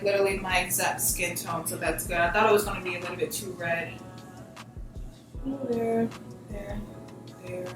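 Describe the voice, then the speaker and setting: A young American woman giving a casual step-by-step tutorial in an informal, slangy, emphatic way. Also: mid-range voice. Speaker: beauty vlogger giving a makeup tutorial. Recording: very echoey room, distant mic, music behind. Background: background music.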